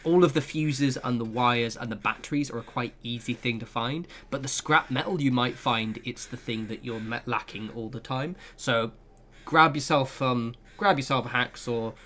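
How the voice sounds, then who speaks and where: A man's voice: medium pitch, moderate pace, a bit bright, slight background noise. A man giving a casual, rambling walkthrough of a video game from his room at home, full of asides and hedging fillers. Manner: chatty, rambling, lots of "kind of" and "sort of". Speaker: gaming video creator. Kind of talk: casual game guide commentary. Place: home room with flatmates nearby.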